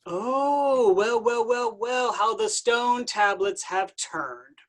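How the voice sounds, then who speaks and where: A man playing a smug, flippant Jesus with playful, drawn-out teasing in a staged comedy reading. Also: very high voice, animated, exaggerated voice. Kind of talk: staged reading of a comic play.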